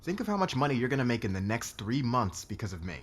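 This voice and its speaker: A man playing a demanding, defensive boss with a hot temper and a hidden crush, halting and intense, in an ASMR romance roleplay. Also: mid-range voice, sing-song. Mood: pushy, insistent.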